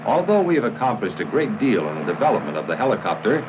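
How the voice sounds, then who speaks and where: Deep voiced american guy narrating an old 50s army training film, lively intonation, formal and measured, muffled noisy audio. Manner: formal, instructional, measured delivery. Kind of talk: 1950s military training film scripted narration.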